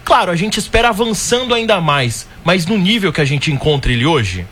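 A man's voice: sits high, sing-song, fairly quick, a bit bright, slightly rough. A man hosting an interview show, greeting his guest and asking long, winding questions in a friendly way. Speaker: show host.

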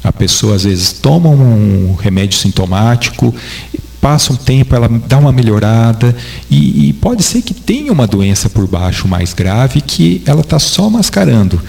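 A man's voice: medium pitch, very animated, normal speed, gravelly, a little mellow. A man with a Brazilian Portuguese accent, a doctor answering interview questions in a patient, didactic way. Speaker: doctor guest.

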